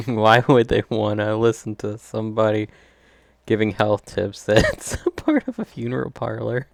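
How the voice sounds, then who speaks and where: Very low, creaky woman gamer. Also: very animated, measured pace, a little mellow, no room sound. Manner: amused, giggly. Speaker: gaming streamer. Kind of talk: casual gameplay reaction commentary.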